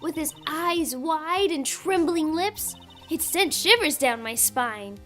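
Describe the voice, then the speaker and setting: Girlish, admiring game sidekick voiced by a woman, very high-pitched, bubbly and trusting. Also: lively intonation, slow, pushed and bright, soft background music, full character voice. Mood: excited, admiring. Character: bubbly, girlish, admiring young female client.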